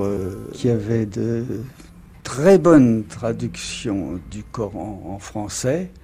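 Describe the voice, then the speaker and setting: An older man in an archival radio interview, talking slowly and musingly, with many hesitations. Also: mid-range voice, warm tone.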